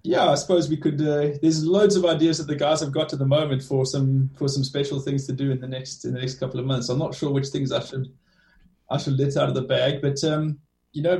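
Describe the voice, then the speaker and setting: A man with a South African accent, a team director answering interview questions in a measured way and often restarting his phrases. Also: fairly high pitch, warm tone. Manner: measured, restarts phrases with many "you know"s. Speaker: motorsport team director, guest. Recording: echoey room, distant from the mic.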